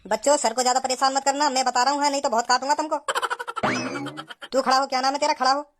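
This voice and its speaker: A bossy cartoon village teacher, high pitched n quick, scolds and repeats threats. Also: steady tone. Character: stern scolding village schoolmaster, small and testy. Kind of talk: comedy cartoon dub in rustic dialect. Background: background music.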